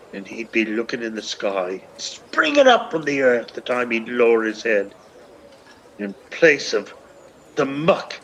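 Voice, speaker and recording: A man's voice: mid-range voice, fairly bright tone, exaggerated voice, poor audio. An actor playing a lovestruck, shabby old Irish beggar man in a radio play, speaking in long, poetic, pleading runs. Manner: poetic, long rolling pleas. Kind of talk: lyrical stage radio-play acting.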